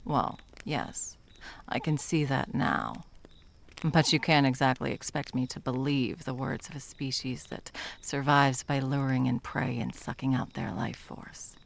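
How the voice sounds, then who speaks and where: A woman playing a menacing, scornful monster hunter in an ASMR roleplay, speaking in a creaky, deliberate, dramatic way. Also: a bass voice, fairly even intonation, slight background noise, full character voice. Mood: gentle, wary.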